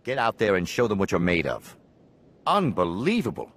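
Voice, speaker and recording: An actor playing a brisk, confident, encouraging combat trainer in a video game. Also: male voice, fairly low pitch, wildly swooping pitch, fairly bright tone, full character voice. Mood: brisk, impressed.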